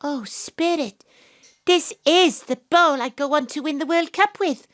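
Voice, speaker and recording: A woman's voice: sits high, unhurried, a bit bright. An actress playing an earnest, troubled young Welsh woman who becomes a rugby captain, in an audio drama. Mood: astonished. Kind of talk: audio drama monologue and dialogue.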